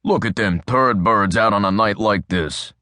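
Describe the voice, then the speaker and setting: A gruff, hard-boiled male police officer, as a male narrator puts him on, blunt and profane, in a storybook reading. The line sits low and growling, and the take is close and dry. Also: measured pace, pushed and bright, no room sound.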